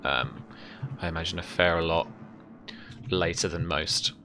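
A man in his thirties narrating a tabletop game as game master, descriptive and measured, with trailing pauses. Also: sits low, fairly even intonation, creaky, sharp tone. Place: small indoor room at home.

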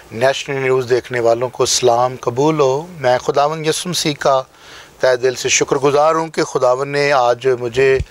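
A middle-aged man with a Pakistani accent, a pastor speaking emphatically and repeating phrases as in a sermon, recorded on a lapel mic in a studio. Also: mid-range voice. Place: small TV studio.

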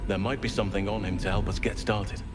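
An actor playing a solemn, earnest old elven druid in a video game, speaking in a grave, measured, formal way. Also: male voice, on the low side, steady tone, music in the background, natural voice. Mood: earnest, urgent. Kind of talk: video game character acting.

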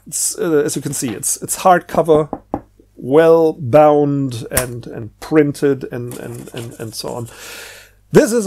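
A man giving a casual, rambling book review in a halting way, often repeating words. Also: mid-range voice, mellow.

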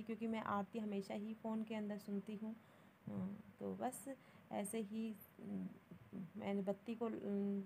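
A woman's voice: mid-range voice, slight background noise, rough recording. A young woman, narrating her daily routine casually and matter-of-factly, with some English words mixed in. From Haryana, India. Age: young adult. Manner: plain, matter-of-fact, mixing in English words. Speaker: vlogger.

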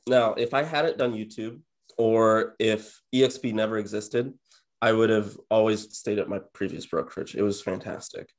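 Young male guest, 20s, deep-leaning voice, unexcitable and introverted, relaxed conversational interview delivery from a home office. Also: moderate pace. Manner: low-key, introverted, self-described monotone. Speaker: interview guest, real estate agent and YouTuber. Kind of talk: casual interview chat. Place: indoor home office, on a video call.

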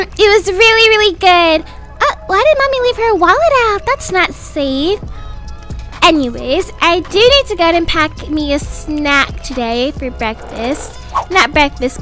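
A woman voicing an excitable, squabbling little child getting ready for school in a roleplay story. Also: extremely high pitch, heavily acted.

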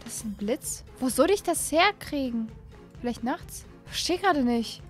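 A young woman hosting an excited play-along game commentary, gushing and repeating phrases, speaking close to a desk microphone. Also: sits high, moderate pace, faint music behind. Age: young adult. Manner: mixes in English catchphrases. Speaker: YouTube gaming host. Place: small room at home, indoors.

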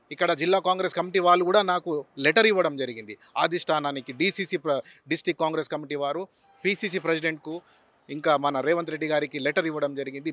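A man with a Telangana Telugu accent makes a formal political statement to a reporter. His tone is forceful and sharp, slightly raised in pitch, running through long lists. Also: no echo. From Telangana, India. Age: 40s. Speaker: lawyer and politician seeking candidacy. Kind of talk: news interview statement. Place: outdoors on a city roadside. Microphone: handheld reporter's microphone.

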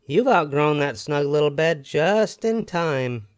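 A man voicing a gentle, reassuring mother bear from a storybook, in a soothing, motherly way. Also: a little high, expressive, slow, clean tone, no room sound, studio quality, voice pushed up.

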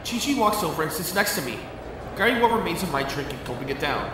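A man's voice: a little high, sing-song, fast, noisy background. A man playing a cheeky, good-natured young professor in an audio drama, playful and bantering. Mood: narrating. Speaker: voice actor. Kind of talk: audio drama acting with first-person narration.

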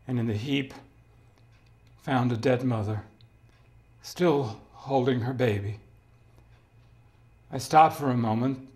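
A haunted resistance survivor, played by a man in his fifties, recounts events in a solemn dramatic reading. His voice is medium in pitch and somber. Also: lightly acted.

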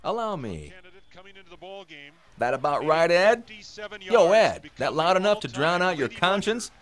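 Mocking, menacing gunman, lively pitch. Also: measured pace. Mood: taunting. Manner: mocking, taunting. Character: menacing, sardonic gunman toying with his hostage. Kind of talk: radio drama acting.

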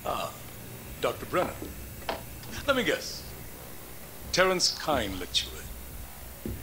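A man's voice: a little high, huge pitch swings, slow, background noise, exaggerated voice. A man playing a coldly menacing zealot scientist in a video game, condescending and theatrical in manner. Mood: condescending, sinister. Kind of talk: dramatic video game acting.

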